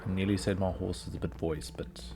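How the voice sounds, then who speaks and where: A hoarse man streaming a video game, rambling to himself in casual commentary as he plays. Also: low voice, fairly even intonation, brisk, noisy background. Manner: rambling, talking to himself while playing.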